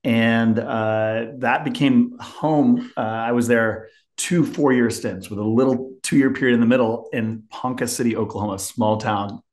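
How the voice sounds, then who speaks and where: A man's voice: medium pitch, fairly even intonation, measured pace, darkish tone. A man in his forties, born in London and raised in Turkey and Texas, talking as a podcast guest in a loose, rambling way with many fillers and restarts. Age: 40s. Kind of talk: casual interview talk. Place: home office in Chicago.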